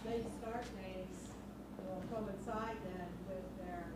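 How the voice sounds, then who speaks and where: A woman's voice: mid-range voice, steady tone, slow, very noisy. A woman in the audience speaking up in a chatty, apologetic way. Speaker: audience member. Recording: distant, echoey room mic.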